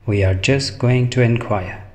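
A man leading a guided meditation in a calm, measured manner, speaking in short phrases with long pauses. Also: mid-range voice.

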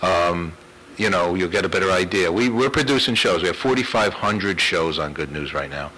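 A man's voice: deep voice, lively intonation, normal speed, sharp tone, rough recording. A man hosting a casual radio phone interview, chatty, laughing often as he reads through a list. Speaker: radio show host and producer.

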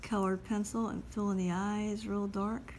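A woman calmly talking through a step-by-step drawing lesson as she sketches at a table. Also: mid-range voice, slight background noise, rough recording. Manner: calm, unhurried, talks while drawing. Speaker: drawing instructor. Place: at a table indoors.

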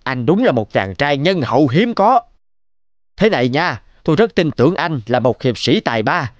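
A man's voice: fairly high pitch, very animated, normal speed, dry recording, lo-fi sound. A man voicing a genial but shrewd stout merchant in a cartoon. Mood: encouraging.

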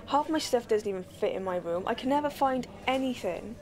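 Woman acting fed up grown daughter in a radio play, arguing, slightly high young voice. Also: animated, fairly quick, background noise, lightly acted. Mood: pleading, impatient. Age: young adult. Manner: exasperated, argumentative. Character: frustrated grown-up daughter wanting independence. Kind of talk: low-key dramatic radio-play dialogue.

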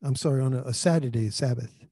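New guy in his 50s giving a sermon, warm relaxed tone, handheld mic, talks like a conversation and restarts a lot. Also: medium pitch, fast talker, breathy edge, no room sound, clean studio sound. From New York. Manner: earnest conversational preaching with frequent restarts. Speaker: pastor. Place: small indoor room. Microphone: handheld microphone.

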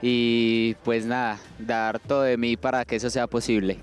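A man's voice: mid-range voice, little pitch movement, moderate pace, soft background music. A man, speaking earnestly in an athlete interview. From Colombia. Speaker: orienteering athlete. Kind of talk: post-race interview.

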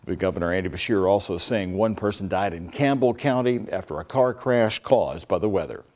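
An older man reading the evening news from a studio desk in a steady, formal delivery. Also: deep voice, fairly quick. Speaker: news anchor.

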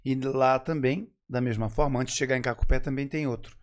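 A middle-aged man with a Florianópolis accent, a podcast guest telling stories in a playful, joking way, recorded in a small studio on a boom mic. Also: steady tone, fairly quick. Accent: Florianópolis Portuguese. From Florianópolis, Brazil. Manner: playful, jokey, reflective asides. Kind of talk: casual storytelling. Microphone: headset with boom mic.

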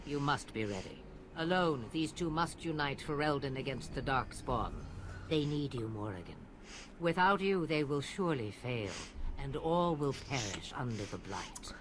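An imperious old witch from a fantasy game, speaks with dry, mocking command. She is sly and cryptic, used to being obeyed. Clear outdoor ambient noise sits behind her. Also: extremely low pitch, expressive, normal speed. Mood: grave, urgent. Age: older. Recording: game audio over noisy outdoor ambience.

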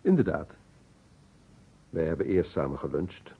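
Deep-voiced elderly professor, old radio. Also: male voice, very animated, creaky, husky, exaggerated voice. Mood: assured, talkative. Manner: deliberate, reminiscing, searching for names. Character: elderly male professor of surgery, courteous, talkative. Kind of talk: Dutch radio play acting. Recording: muffled old radio recording, narrow band.

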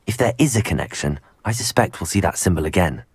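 A man acting as a level-headed, polite young student wizard hero in a video game, measured and formal. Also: sits low, animated, breathy edge, exaggerated voice. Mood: composed, earnest. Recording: music behind the voice.

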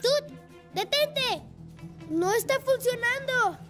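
Little boy motorbike from a kids cartoon, really high, slowish, earnest big brother type. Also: lively intonation, a bit bright, faint music behind, heavily acted. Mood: worried, protective. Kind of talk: animated children's series dub in Spanish.

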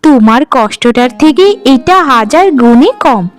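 A woman voicing a cheeky, affectionate young village girl in a cartoon, chirpy and teasing, with a high voice. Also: expressive, a little slow, soft background music. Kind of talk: cartoon voice acting.

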